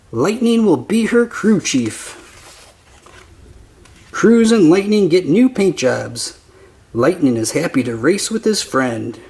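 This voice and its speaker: A man reading a children's picture book aloud as narrator, with simple, deliberate phrasing for young listeners. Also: fairly high pitch, a little mellow. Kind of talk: slow scripted children's story narration.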